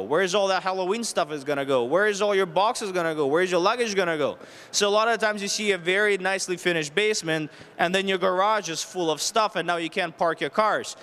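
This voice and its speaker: Guy in his 30s giving a talk on a mic, pretty high voice, smooth and clear, explains stuff with lots of questions. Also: fairly bright tone. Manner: explanatory, poses rhetorical questions and lists options. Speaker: renovation contractor giving a presentation. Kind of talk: public presentation with practical tips. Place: stage at an indoor home show. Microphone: handheld microphone held near the chin.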